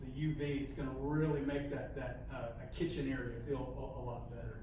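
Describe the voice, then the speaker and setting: A man leading a sales training session, halting and repeating words, often ending points with "okay?". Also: medium pitch, little pitch movement. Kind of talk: coaching a training session with role-play. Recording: echoey room, mic far off, faint hiss.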